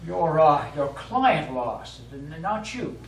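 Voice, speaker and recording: Male actor as cautious wealthy gentleman, reserved, halting speech, stage play, echoey, far from mic. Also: on the high side, sing-song, full character voice. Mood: puzzled, disapproving. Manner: halting, politely reserved, repeats words. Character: cautious, polite, wealthy conservative gentleman client. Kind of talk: live stage play acting. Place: small indoor theater studio. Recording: echoey stage recording from far off, faint noise.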